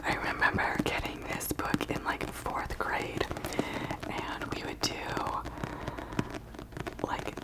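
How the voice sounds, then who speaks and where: A woman whispering softly in an ASMR style, breathy and unvoiced, with gentle, deliberate delivery and long pauses. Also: poor audio. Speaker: ASMR channel host. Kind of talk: soft whispered ASMR, chatting and reading aloud.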